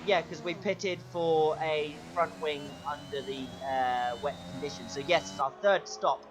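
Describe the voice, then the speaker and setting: Guy with a high voice and sore throat calling his racing game, excited, faint engine hum behind. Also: unhurried. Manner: excitable play-by-play, pitch jumps when overtaking. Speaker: gaming channel host.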